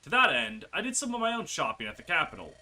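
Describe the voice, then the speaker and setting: A man's voice: fairly high pitch, wildly swooping pitch, quick pace, bright, forceful tone, full character voice. A man voicing earnest fantasy game companions as he reads their dialogue aloud dramatically. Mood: assured. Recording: music behind the voice.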